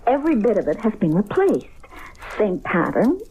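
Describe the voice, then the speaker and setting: An actress playing an uneasy, observant wife in an old radio mystery, halting and hushed in a suspenseful way. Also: female voice, mid-range voice, big pitch swings, lightly acted, rough recording. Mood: uneasy, insistent. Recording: old radio recording with faint noise.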